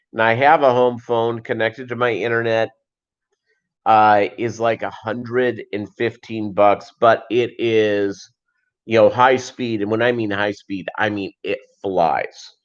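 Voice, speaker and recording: A middle-aged man chatting casually as a livestream host, in a rambling, halting way that often repeats phrases. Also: medium pitch, fairly even intonation. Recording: dull lo-fi livestream audio.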